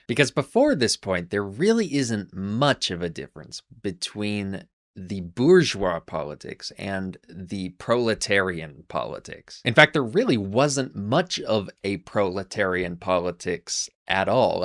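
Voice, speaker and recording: Low-voiced man casually explaining history. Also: a bit bright. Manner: conversational, frequent fillers and restarts. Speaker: history channel host.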